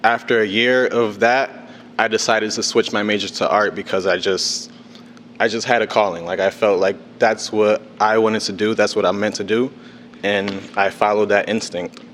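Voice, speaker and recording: A man's voice: lowish voice, steady tone, moderate pace, fairly bright tone, a little hiss or hum. Young man speaking in an echoing hall. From Connecticut. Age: young adult. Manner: informal, with fillers and restarts. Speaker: panel speaker, artist. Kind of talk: telling his life story on a panel. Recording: long hall reverb.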